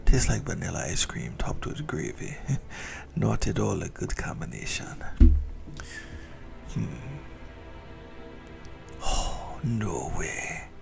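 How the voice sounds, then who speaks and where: A man voicing an obsessive, doting vampire in an intimate ASMR roleplay, with a breathy whisper and theatrical, adoring relish. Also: deep voice, very animated, slow pace, long pauses, music in the background, full character voice. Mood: indulgent, picky. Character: obsessive lovesick male yandere vampire, doting, gleefully indulgent.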